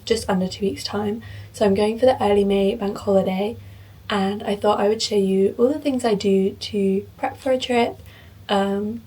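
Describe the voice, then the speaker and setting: A young woman from the UK talks chattily to camera at home, her voice husky and a touch croaky after being ill. She thinks aloud as she goes and pokes fun at herself. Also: medium pitch, dark tone, distant mic. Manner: chatty, self-deprecating, thinks aloud while working. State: slightly croaky from illness. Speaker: vlogger. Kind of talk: casual vlog talk to camera. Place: home, in front of a mirror.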